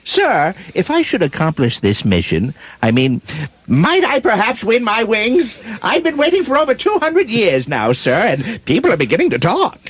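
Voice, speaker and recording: A man playing an eager, simple, fussy old angel in a comedic radio play, with a husky voice. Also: high voice, huge pitch swings, normal speed, dry recording, exaggerated voice. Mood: hopeful.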